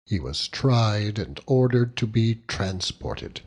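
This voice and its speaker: A man narrating a classic novel as an audiobook, in an expressive, dramatic storytelling manner. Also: on the low side, takes it slowly.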